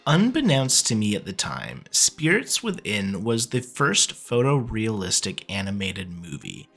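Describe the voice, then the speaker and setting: A man's voice: mid-range voice, gravelly, fairly bright tone, breathy edge. A man narrating a scripted video review in a casual, opinionated way. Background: background music.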